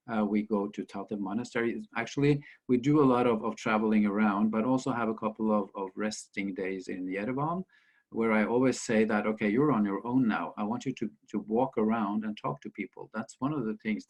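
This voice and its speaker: Low voiced guy from norway at a book talk, chatty storytelling, acts out little quotes. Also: darkish tone. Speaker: author and lecturer, guest.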